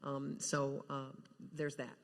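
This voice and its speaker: A woman speaking as a panel guest, earnest and halting, often restarting her words as she recalls her work. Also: a bass voice, monotone. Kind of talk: casual recollection on a live panel. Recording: echoey hall, live panel sound.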